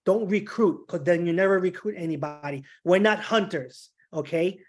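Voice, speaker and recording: A man's voice: high voice, moderate pace. A man on a video call gives advice and keeps checking in with "okay?". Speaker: meeting participant.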